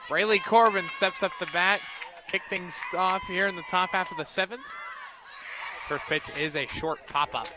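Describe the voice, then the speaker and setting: Guy doing softball play by play on radio, voice a bit high, lots of outdoor noise behind him. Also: fairly even intonation, normal speed. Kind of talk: live softball play-by-play commentary. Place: outdoors at a ballfield, on a platform by tables. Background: loud outdoor ambience.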